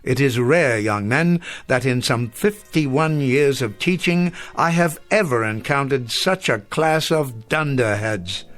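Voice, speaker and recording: An actor playing a gentle, bookish old literature professor in a radio drama, courteous and wordy in an old-fashioned formal way. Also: male voice, mid-range voice, lively intonation, slow, hardly any pauses, soft background music. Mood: wry, fond.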